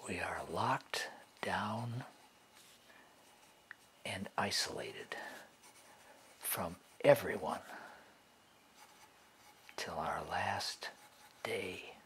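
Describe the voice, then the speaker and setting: A man playing a bitter, sardonic convict awaiting execution in a dramatic monologue, taunting and hushed, with long pauses. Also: low voice, slight background noise, heavily acted. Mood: grim, brooding.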